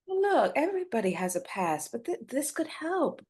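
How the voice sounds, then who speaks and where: A woman's voice: high-pitched, sing-song, moderate pace, darkish tone. A woman playing a guarded, burdened wife and determined community organizer in a screenplay reading. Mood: coaxing. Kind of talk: live staged screenplay reading.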